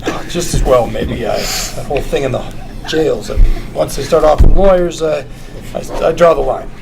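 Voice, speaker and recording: Gravelly, glib male lawyer. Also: fairly high pitch, moderate pace, music in the background. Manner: wheedling patter full of hedging stammers. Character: glib, nervous, fast-talking male lawyer. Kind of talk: actor at a script table read. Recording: noisy room ambience.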